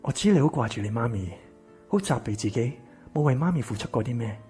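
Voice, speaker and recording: An actor playing a wounded, embittered rich man in a Cantonese radio drama, with a Hong Kong accent and a breathy, husky voice. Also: mid-range voice, expressive, fast talker, warm tone, lightly acted. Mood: calm, measured. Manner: intense, dramatic. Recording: music behind the voice.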